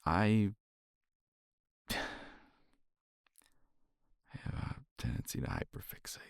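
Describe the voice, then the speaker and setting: A man speaking softly and reflectively in a romantic ASMR roleplay, sighing often and sometimes dropping to a whisper, close to the mic. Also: deep voice, sing-song, a little slow, creaky, clean tone, dry recording. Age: older. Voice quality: soft, intimate, drifts into whispers.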